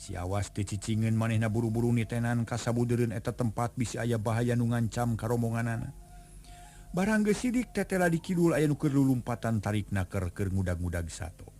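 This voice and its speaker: A man narrating a folk tale in a steady storytelling voice. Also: on the low side.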